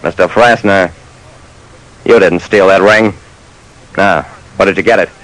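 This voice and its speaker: A man's voice: sits low, steady tone, unhurried, a bit bright, rough recording. An actor playing a wry, slangy detective who narrates his case in a clipped old-time radio style. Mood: firm. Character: dry, soft-hearted male detective telling his case. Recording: vintage radio broadcast.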